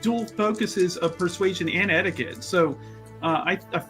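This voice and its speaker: Higher-voiced man at a game table. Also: moderate pace, pushed and bright, faint music behind. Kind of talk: casual game-table chat.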